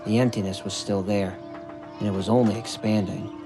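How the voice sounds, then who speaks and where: A man reading a scripted story narration in a measured, earnest way. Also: on the low side, fairly even intonation. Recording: music bed under the narration. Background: background music.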